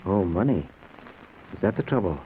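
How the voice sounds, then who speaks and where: A man's voice: deep voice, lively intonation, moderate pace, narrow, tinny audio, slight background noise. Intense obsessed man, thin old radio. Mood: dismayed. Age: middle-aged. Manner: earnest, dramatic, intense. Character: obsessed, nervously intense middle-aged man telling his uncanny tale. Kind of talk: 1940s radio drama acting and narration.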